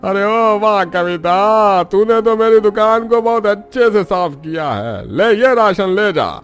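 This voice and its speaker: A man's voice: high voice, animated, measured pace. An actor playing a grumpy, bossy village grocer in a cartoon story, a man who scolds but relents. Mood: pleased.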